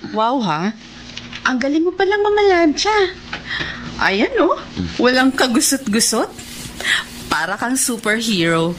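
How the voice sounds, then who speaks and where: Chatty, lively, insistent woman. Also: high-pitched, unhurried, long pauses, slight background noise. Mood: teasing. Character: friendly, teasing, solicitous woman, insistent helper. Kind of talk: radio drama acting.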